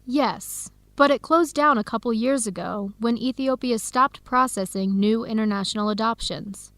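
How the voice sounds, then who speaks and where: An actress playing an earnest young American woman researcher in a radio drama, with clear, expressive, carefully enunciated speech. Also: on the high side, moderate pace, no room sound. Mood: earnest, explanatory. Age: young adult. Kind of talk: scripted radio drama dialogue.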